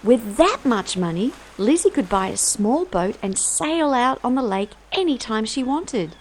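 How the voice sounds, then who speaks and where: A woman reading a children's story aloud with theatrical, emphatic, drawn-out phrasing. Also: fairly high pitch, sing-song, a little mellow, a little hiss or hum.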